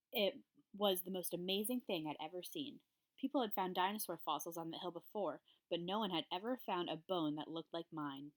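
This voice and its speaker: Woman teacher reading aloud, slightly low voice. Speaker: third grade teacher. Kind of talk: read-aloud of a children's story for pupils.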